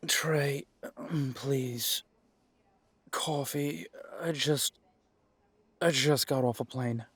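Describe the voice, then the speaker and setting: Wry, protective male werewolf alpha, acted by a man, mid-pitched, unhurried, dry sound. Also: husky, no echo, exaggerated voice. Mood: weary. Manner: dry, sarcastic, hesitant when weary. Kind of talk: audio drama acting.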